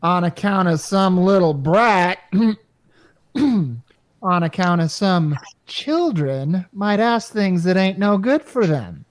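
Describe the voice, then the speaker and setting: A brash salesman played by an actor in a radio comedy, with a very animated, swooping delivery. The patter is slangy and wry, that of a worn-down working-class wisecracker. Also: medium pitch, takes it slowly. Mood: exasperated. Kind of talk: hobby radio play acting.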